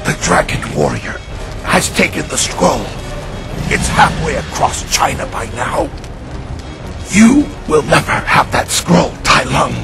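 Stern old male master for an animated game: gravelly, expressive, under loud music. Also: on the high side, heavily acted. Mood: defiant. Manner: stern, measured. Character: stern, small old red panda kung fu master. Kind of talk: animated video game voice acting.

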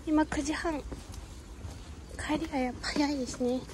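High-voiced young Japanese woman vlogging outdoors. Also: fairly even intonation, normal speed, background noise. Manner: chatty, musing, talks to birds and cats in a sing-song voice. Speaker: travel vlogger. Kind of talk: casual vlog chat to camera. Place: outdoors on a windy hilltop fortress. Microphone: phone camera close by.

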